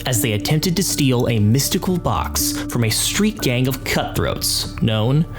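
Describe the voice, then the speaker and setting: A man delivers scripted, dramatic story narration at a medium pitch. Loud music runs underneath his voice. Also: animated, unhurried.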